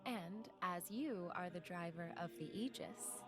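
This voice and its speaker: An actress playing a serene young woman envoy in a video game cutscene, speaking with formal, measured courtesy. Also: lowish voice. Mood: courteous, composed. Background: music.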